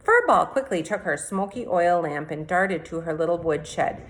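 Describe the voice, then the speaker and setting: A woman with a slightly low-pitched voice reads a storybook aloud. She narrates expressively, in the manner of a teacher sharing a fairy tale with a class. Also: animated, moderate pace, a bit bright.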